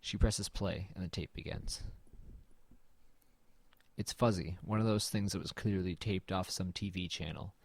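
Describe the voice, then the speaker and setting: A man playing an uneasy narrator in a horror audio drama, telling it in the first person in a measured, brooding way. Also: low-pitched, creaky, slightly rough, no echo, exaggerated voice. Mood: tense. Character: uneasy male narrator exploring a dead man's house.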